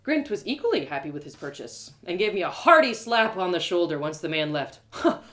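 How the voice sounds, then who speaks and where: Highly animated woman reading a story. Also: brisk. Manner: expressive, theatrical. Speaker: author and storyteller. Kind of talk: live first-person fiction reading.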